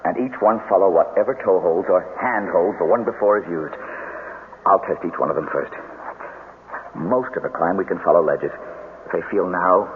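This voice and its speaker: A man's voice: deep voice, close to an everyday voice. An actor playing a brave, take-charge young man in a vintage radio drama, firmly instructing the others. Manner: firm, instructing, commanding. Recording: tinny, noisy old radio broadcast.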